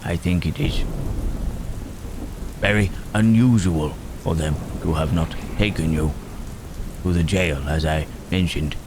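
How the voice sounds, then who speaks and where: A man voicing Frankenstein's monster as gentle, brooding and protective, speaking in halting, formal, old-fashioned phrases for an ASMR roleplay. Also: low-pitched, background noise. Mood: pensive, gentle. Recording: rain and thunder throughout.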